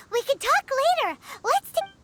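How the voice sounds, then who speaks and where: A woman's voice: huge pitch swings, a little slow, heavy background noise, full character voice. A woman voicing a tiny, childlike fairy companion in an anime fantasy game, chirpy, cutesy and protective. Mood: relieved, urgent. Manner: squeaky, cutesy delivery.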